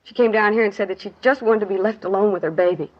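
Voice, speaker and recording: A woman's voice: medium pitch, quick pace, lightly acted. Actress for grieving working-class woman witness, 1950s radio drama, plain clipped delivery, muffled vintage sound. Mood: sorrowful. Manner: plain clipped sentences, colloquial grammar. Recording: dull 1950s radio recording.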